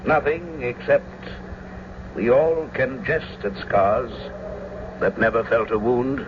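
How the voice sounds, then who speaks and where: Man playing sober young gentleman, medium pitch, classical drama delivery, muffled noisy radio broadcast. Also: slow, natural voice. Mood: wry. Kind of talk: classical stage-style radio drama acting.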